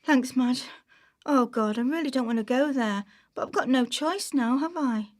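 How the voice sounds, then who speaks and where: A woman's voice: sits high, moderate pace, lightly acted. A woman playing an anxious, struggling young mother on benefits, pleading and flustered, in a radio play. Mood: resigned.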